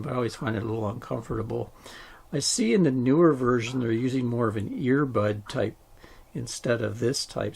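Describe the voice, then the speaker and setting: A man talks informally through a product review. His voice is heavily creaky and husky, at an ordinary male pitch. He sounds plain-spoken and casual, like a vlogger addressing viewers. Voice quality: gravelly, husky.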